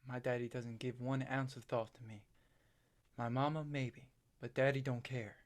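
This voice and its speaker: A man playing a haunted, self-doubting farm boy in a stage play, halting and plain-spoken. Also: mid-range voice, fairly even intonation, lightly acted. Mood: dejected.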